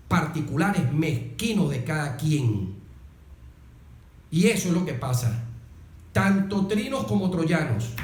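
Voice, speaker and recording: A Venezuelan man speaks slowly and deliberately in a slightly high voice, in a room with noticeable echo. He sounds like an earnest political commentator, stressing points through repeated, parallel phrases. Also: darkish tone. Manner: emphatic, deliberate, repeats phrases in rhetorical series. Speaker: interview guest, political commentator. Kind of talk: earnest political discussion, speech-like. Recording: roomy, echoing sound.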